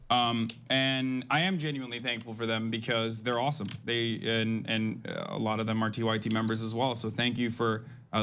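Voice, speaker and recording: A young man from Turkey talking on a casual panel. He rambles as he goes and keeps doubling back to restart his sentences. His voice sits at a middle pitch. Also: a bit bright, smooth. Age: young adult. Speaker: political commentator.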